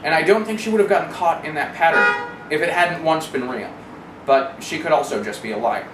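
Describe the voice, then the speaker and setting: A man's voice: a little high, fairly quick, loud music behind the voice. A man giving a candid first-person account as an interviewee, with a wry, sardonic, mildly profane and analytical way of talking that wanders into asides. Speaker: interviewee recounting personal experience. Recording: roomy, distant mic, clearly noisy.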